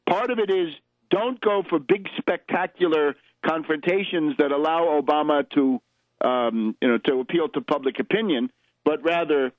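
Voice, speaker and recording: A man hosting a political radio show, giving opinionated commentary in a halting, emphatic manner with sardonic asides. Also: on the high side, no room sound. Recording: dull, lo-fi radio recording.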